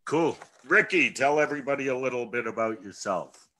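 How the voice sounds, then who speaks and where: A man hosting a panel talk over a video call and asking his guests questions in an unhurried, friendly way. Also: mid-range voice, animated, sharp tone. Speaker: host.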